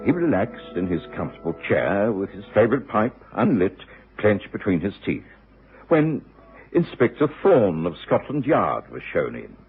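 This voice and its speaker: The narrator of a detective radio play. His voice is fairly low with lively inflection, on a tinny old broadcast with faint music. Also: normal speed. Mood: composed. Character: composed male companion narrating a detective's case. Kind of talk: scripted radio drama narration.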